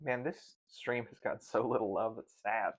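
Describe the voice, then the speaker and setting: A man chatting casually on a livestream in a halting, grumbling manner, often repeating his words. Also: on the low side, husky. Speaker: streamer. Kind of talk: casual chat while tasting food on a livestream.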